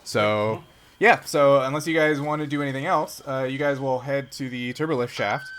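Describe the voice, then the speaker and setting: A man acting as game master, casually narrating a tabletop roleplaying game in a halting, conversational way. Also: medium pitch, fairly bright tone, clear, resonant tone.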